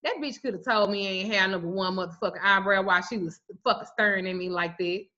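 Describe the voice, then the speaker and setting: A woman in her forties, a spiritual reader, telling stories on a livestream in a blunt, profane, animated way. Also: medium pitch, fast. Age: 40s. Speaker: spiritual reader and root worker. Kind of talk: casual livestream talk. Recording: muffled lo-fi stream audio.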